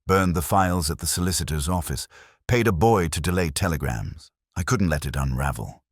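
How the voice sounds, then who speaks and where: A man voicing a cornered impostor in a detective audiobook, confessing defensively in terse, halting phrases. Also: low voice, animated, no echo, studio quality. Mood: uneasy admission.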